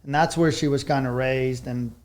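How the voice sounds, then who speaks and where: A man's voice: medium pitch, fairly even intonation, normal speed, a little mellow. A man in his thirties from South Africa, with a South African accent and Afrikaans as his first language. He is a podcast guest telling his life story in an easygoing, rambling way. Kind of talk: casual interview storytelling.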